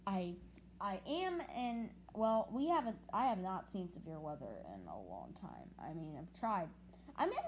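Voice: medium pitch, a little mellow. Hesitant speaker with a woman-range voice, noisy recording. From United States. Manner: hesitant, rambling, often repeating words. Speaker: hobbyist video creator. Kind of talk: casual unscripted video update. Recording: clearly noisy with clicks.

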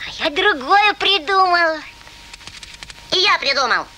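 A woman voicing an excitable young animal in an animated film, with a childlike, exclamatory delivery. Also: extremely high pitch, unhurried, a little hiss or hum, full character voice. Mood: squabbling, eager. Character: excitable, squabbling young cartoon critters. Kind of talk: animated film character acting.

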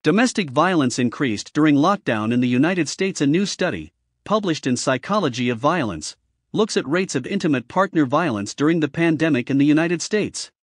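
A man reading a scripted passage aloud as a sample answer, in a measured, clearly enunciated way. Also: on the high side, expressive, no room sound, studio quality. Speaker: reader of exam sample answers.

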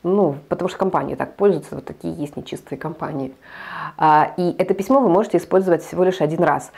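A woman's voice: sits low, fast, gravelly, darkish tone, breathy edge. A woman in her thirties talking casually to camera as a vlogger, hesitant with many fillers and restarts, recorded on a lapel mic. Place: indoor studio with green backdrop.

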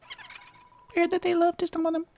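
A woman's voice: extremely high pitch, big pitch swings, moderate pace, mellow, heavily acted. A woman voicing a dainty, teasing spider monster from a video game, with a mock-sweet, taunting manner. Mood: gossipy, sly.

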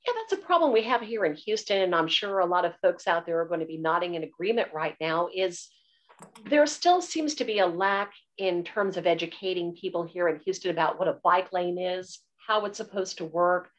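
Low-voiced woman moderating online. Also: lively intonation, brisk, highs cut off. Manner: long, winding questions. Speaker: event host asking questions. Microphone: video call.

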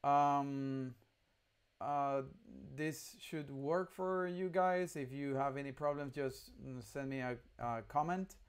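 Clear-voiced young man giving a casual tutorial. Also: mid-range voice, takes it slowly. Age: young adult. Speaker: instructor. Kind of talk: casual screencast tutorial narration. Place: indoor room at a computer.

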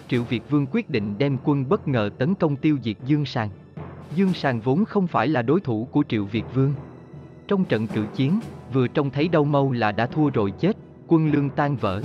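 A man's voice: mid-range voice, expressive, moderate pace, highs cut off. A man narrating history from a script in a formal storytelling manner. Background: background music.

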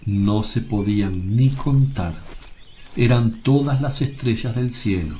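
An actor playing a serene, kindly religious teacher in a radio drama, speaking in a measured, solemn way. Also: male voice, lowish voice, mellow, a little hiss or hum. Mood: gentle.

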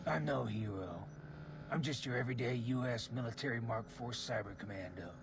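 An actor playing a cocky cyborg commando in a video game, gruff and gravelly with deadpan action-hero delivery. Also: male voice, medium pitch, normal speed, noisy background, lightly acted. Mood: grim. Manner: deadpan one-liners. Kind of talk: 1980s action-movie parody game acting. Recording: loud music over speech.

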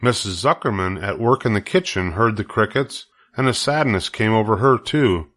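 Male children's audiobook reader, lowish pitch, measured and deliberate delivery. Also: animated, a bit bright. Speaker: storybook reader. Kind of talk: audiobook narration of a children's story.

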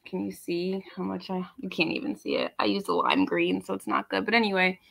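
A young adult woman chatting casually on a group video call, with an animated, teasing, complaining manner. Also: lowish voice, fairly quick, vocal fry. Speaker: panelist on a reading livestream. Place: room at home, video call.

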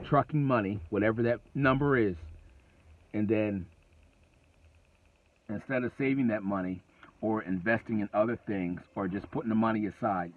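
A middle-aged man vlogs from the seat of his parked car, speaking in a somewhat low register. He talks casually but with emphasis, circling back and repeating himself to drive points home. The sound is thin and phone-like, close and dry with no room echo. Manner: conversational, emphatic, repeats phrases. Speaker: trucking vlogger. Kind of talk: casual advice vlog. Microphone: phone camera at arm's length.

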